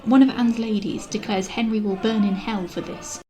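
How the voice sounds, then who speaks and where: A woman's voice: medium pitch, steady tone, moderate pace, a crowd in the background, rough recording. A woman delivering scripted, sarcastic film commentary with exasperated asides. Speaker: video essay host, film reviewer. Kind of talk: scripted critical commentary on screen dramas.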